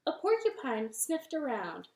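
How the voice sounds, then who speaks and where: A woman leads a children's storytime from her living room in a sing-song, cheerful manner. She speaks slowly, in a fairly high voice. Her voice rings off the room with a noticeable echo. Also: sing-song, a little mellow. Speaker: library storytime host.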